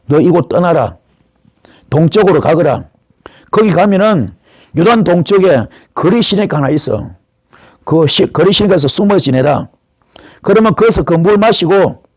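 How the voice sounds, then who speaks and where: A male pastor delivers a sermon in a fairly high voice with a dry, close sound. He retells scripture as a story, voicing the dialogue and putting questions to his listeners. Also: darkish tone, no echo. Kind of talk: sermon retelling Bible stories.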